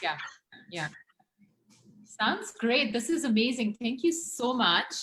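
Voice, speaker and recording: A woman's voice: on the high side, big pitch swings, takes it slowly, a bit bright. A woman hosting a casual group chat, interviewing children in an encouraging way and often chiming in with short affirmations. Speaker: host.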